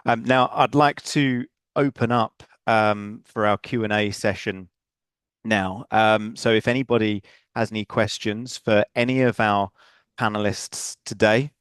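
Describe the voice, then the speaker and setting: A man's voice: lowish voice, fairly even intonation, measured pace, fairly bright tone, smooth, dry recording. A man hosting a webinar Q and A over a video call, speaking in a friendly, loose way with many fillers. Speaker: webinar host.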